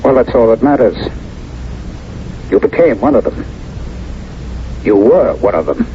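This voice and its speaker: An actor in a 1970s radio drama playing a troubled, haunted war veteran, wary, defiant and halting. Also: male voice, medium pitch, sing-song. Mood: defiant, shaken. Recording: dull, hissy old radio recording.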